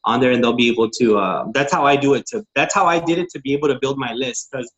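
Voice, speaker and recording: Man, from Texas, eager, informal, fillers and restarts, middle pitch, muffled call audio. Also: fast talker, a bit bright. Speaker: network marketing consultant. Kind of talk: casual teaching on a group call.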